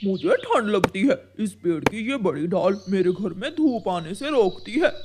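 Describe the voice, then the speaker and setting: A woman's voice: medium pitch, sing-song, a little slow, background noise. A woman voicing a selfish, grumpy male crow who bullies his neighbours in a cartoon. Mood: grumbling. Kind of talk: Hindi cartoon voice acting.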